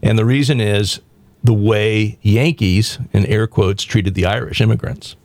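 A man from the American South hosting a talk show, speaking bluntly and provocatively with halting restarts. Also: lowish voice, steady tone, darkish tone, no room sound. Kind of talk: opinionated radio talk.

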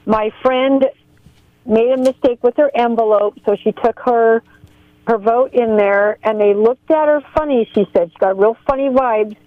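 A woman's voice: a little high, normal speed, dry recording. A woman calling in to a radio show as a poll worker, telling stories in a chatty way with laughs. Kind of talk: phone-in storytelling. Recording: muffled phone line.

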